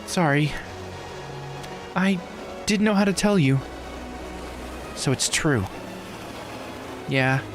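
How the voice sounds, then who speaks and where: A man's voice: fairly high pitch, takes it slowly, pauses often, voice pushed up. Earnest, worried young friend in a visual novel, played by a man, lifted voice over music. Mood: earnest, sombre. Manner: earnest, emotive. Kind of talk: character dialogue read aloud.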